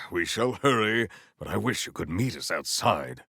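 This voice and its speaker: An actor playing a proud, battle-hungry warrior in a video game, with formal, stilted and grandiose diction. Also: male voice, fairly low pitch, big pitch swings, unhurried, gravelly, pushed and bright, slightly rough, dry recording, studio quality, full character voice. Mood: stern, determined. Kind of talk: scripted video game character lines.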